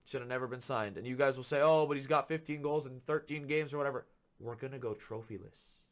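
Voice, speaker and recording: A man hosting a football podcast, ranting with frustration and repeating his points for emphasis, a little hoarse from being sick. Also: medium pitch, quick pace. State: slightly hoarse from illness. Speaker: podcast host. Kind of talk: solo football commentary rant.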